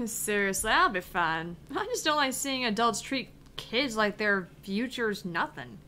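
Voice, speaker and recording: A woman's voice: fairly high pitch, big pitch swings, measured pace, pushed and bright, heavily acted. A woman voicing a brash, fiery young female gamer with a theatrical, foul-mouthed manner, reading out a visual novel game. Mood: frustrated, subdued.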